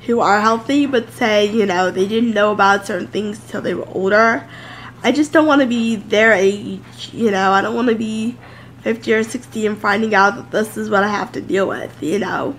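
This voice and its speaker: A woman's voice: medium pitch, moderate pace, fairly bright tone, slight background noise, rough recording. Woman, 30s, home vlogger, congested and nasal, chatty and loose, close camera. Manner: rambling, chatty, many fillers. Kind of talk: casual sit-down chat to camera. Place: home office, indoors.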